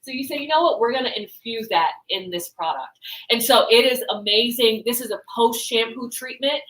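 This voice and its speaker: A woman's voice: fairly high pitch, moderate pace, fairly bright tone, poor audio. Woman presenting hair-care products, explanatory. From Bahamas. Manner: explanatory, often checks in with "right?". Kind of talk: product presentation and live demonstration.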